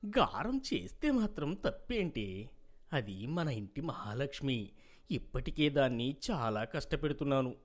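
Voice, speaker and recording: Man acting a gentle father, lively intonation. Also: mid-range voice, measured pace. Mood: placating. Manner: coaxing, soothing. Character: gentle, indulgent middle-aged father and placating husband.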